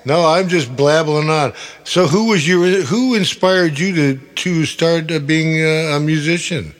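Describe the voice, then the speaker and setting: A man in his late sixties hosting a radio podcast, chatty and enthusiastic, with quick interjections. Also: a little high. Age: 60s. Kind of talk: casual conversational interview.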